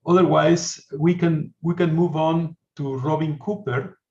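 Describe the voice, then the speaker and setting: Polite man moderating, fairly high voice. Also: steady tone, measured pace, darkish tone. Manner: polite, repeats his phrases. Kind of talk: informal moderating of an online meeting. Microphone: video call. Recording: muffled video call audio.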